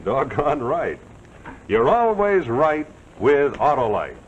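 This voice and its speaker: An American man announces in a folksy, salesmanlike 1940s television style. His mid-pitched voice is highly animated and jovial. The old soundtrack is dull, with faint noise underneath. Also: slow pace.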